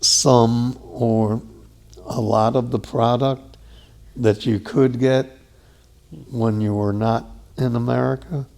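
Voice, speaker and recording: A man asking questions haltingly, pausing often and searching for words. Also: medium pitch, fairly even intonation, gravelly, a little mellow. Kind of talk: conversational interviewing.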